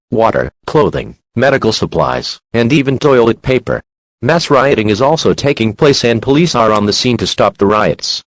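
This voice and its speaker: A man's voice: medium pitch, no echo, rough recording. A man reading scripted emergency alert announcements in a formal, stern manner.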